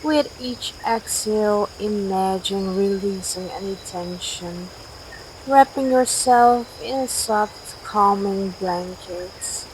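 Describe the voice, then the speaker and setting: A woman guides a sleep meditation very slowly, with gentle, soothing instructions and long pauses. Her voice sits at a medium pitch for a woman. Crickets and outdoor night ambience are heard behind her. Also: low-quality recording. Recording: steady outdoor nature noise, crickets. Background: cricket and night insect ambience.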